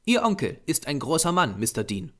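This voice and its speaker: A man's voice: fairly high pitch, sing-song, a little fast, a bit bright, pitched above the performer's own voice. A man voicing a small, grey-haired Japanese doctor, a patient, earnest occultist lecturing formally in an audiobook. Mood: polite. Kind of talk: audiobook character voice.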